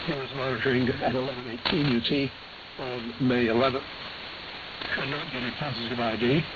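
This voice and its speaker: A man's voice: medium pitch, fairly even intonation, fairly quick, dull audio. A man hosting a radio program, reading a scripted shortwave news bulletin in a matter-of-fact way. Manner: matter-of-fact, spells out letters and frequencies. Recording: hissy, band-limited AM shortwave broadcast.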